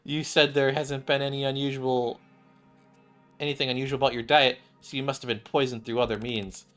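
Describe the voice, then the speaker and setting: Male streamer as woman doctor, game character, concerned, composed, mid pitch, faint music. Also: flat delivery, a little fast, fairly bright tone, close to an everyday voice.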